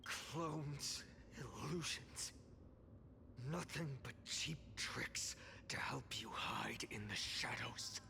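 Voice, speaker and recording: A man's voice: mid-range voice, drawn-out words, vocal fry, pushed and bright, in a whisper, heavily acted. A man playing a solemn, determined young game hero with an earnest, dramatic delivery. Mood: defiant. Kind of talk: scripted game voice acting. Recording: music behind the voice.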